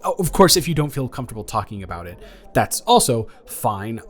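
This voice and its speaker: A man's voice: medium pitch, big pitch swings, brisk, natural voice. A young man playing an awkward, caring college-age ex-boyfriend in an improvised intimate audio roleplay, earnest and stammering as he rambles. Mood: puzzled, teasing. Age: young adult.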